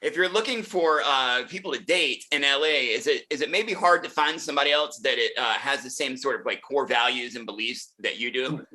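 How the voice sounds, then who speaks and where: A man's voice: mid-range voice, a little fast, few pauses, sharp tone, low-quality recording. A man hosting a podcast interview, putting questions in a conversational way.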